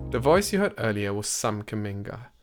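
A man giving scripted documentary narration for a podcast. He tells it in a measured way and lifts his voice for quoted speech. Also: on the low side, very animated, loud music behind the voice.

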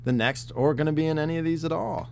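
A man co-hosting a casual podcast chat, talking in an excitable, rambling way with lots of fillers and swearing, his voice getting worn. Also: fairly high pitch, fast talker, vocal fry, slight background noise. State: losing his voice. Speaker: podcast host.

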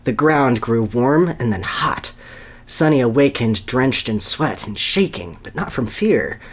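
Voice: medium pitch, expressive, a little slow. A podcast co-host giving an amused dramatic reading of a children's story, breaking into asides.

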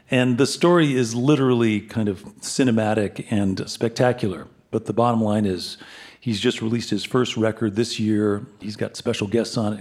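A man's voice: on the low side, darkish tone. A man hosting a live radio show from a concert hall, energetically introducing the week's guests to an audience. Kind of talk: enthusiastic stage introduction.

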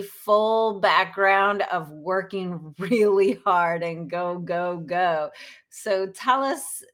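A woman hosting a podcast, affirming her guest and leading into questions. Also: lowish voice. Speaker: podcast host. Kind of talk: interview conversation.

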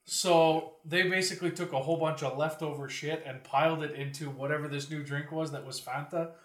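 A man's voice: on the high side, steady tone, a little fast, a bit bright. A man hosting a podcast with casual, joking, sweary banter as he poses questions, recorded with a roomy echo. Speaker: podcast host posing questions. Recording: roomy, echoing sound.

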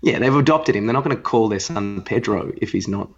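Low-voiced man in casual, rambling podcast banter. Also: brisk, slightly rough, highs cut off, soft background music. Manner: rambling, blunt, swearing, acts out quotes. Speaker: podcast co-host. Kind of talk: casual comedic banter, recapping an awards show.

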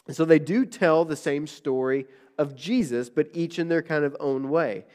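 A man preaching to his congregation in a nasal voice, still getting over an illness. His voice sits a little high for a man, and he talks in a loose, conversational way, with plenty of "uh"s and restarts. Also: moderate pace, darkish tone. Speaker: pastor. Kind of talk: sermon.

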